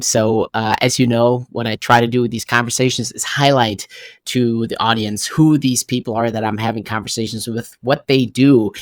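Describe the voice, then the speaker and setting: Host role: man, mid-pitched, dry close sound, eager and friendly. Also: dry recording. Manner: upbeat, enthusiastic opener. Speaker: podcast host. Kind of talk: casual interview conversation.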